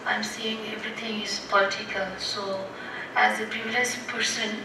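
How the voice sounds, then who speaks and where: A woman speaking on a panel as a photographer, halting between phrases. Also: mid-range voice, little pitch movement. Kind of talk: unscripted panel talk. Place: dim auditorium with seated audience. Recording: echoey noisy hall, far from mic.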